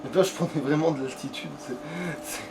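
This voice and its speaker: A man's voice: mid-range voice, brisk. A man playing a video game and chatting casually with a friend, rambling and swearing as he goes. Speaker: video game let's player at the controls. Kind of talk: casual gaming chat with a friend. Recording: clearly noisy home recording.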